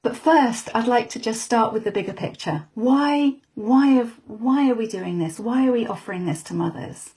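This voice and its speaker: Gentle higher-voiced woman host. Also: moderate pace, hardly any pauses. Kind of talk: introducing a program.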